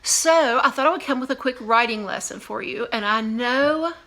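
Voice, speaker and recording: Woman, higher-pitched, English teacher, conversational, animated, emphatic, lesson for kids. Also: moderate pace. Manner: animated, conversational, emphatic repetition, voices imagined student reactions. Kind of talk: video lesson for young students.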